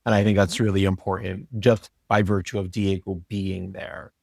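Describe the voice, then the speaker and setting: A man's voice: sits low, flat delivery, normal speed, vocal fry, no echo, clean studio sound. A man who writes soap opera, explaining things earnestly in a reflective, casual discussion.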